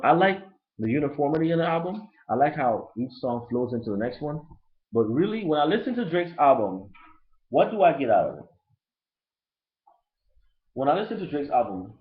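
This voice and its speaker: A man with a Haitian accent who grew up in Brooklyn, ranting bluntly and profanely in a casual, rambling album review. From Brooklyn, New York. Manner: profane, blunt and rambling, lively pitch swings. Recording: lo-fi dull recording.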